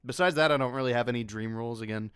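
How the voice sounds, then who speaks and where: A man's voice: mid-range voice, very fast, fairly bright tone, smooth, no room sound, studio quality. A man talking casually on an online panel as a voice actor who directs dubs, explaining things with joking asides. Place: online panel over voice call.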